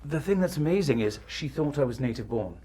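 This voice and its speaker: A man's voice: medium pitch, lively intonation, a little fast, warm tone. A man telling a casual anecdote as a guest on a podcast, with light noise in the recording.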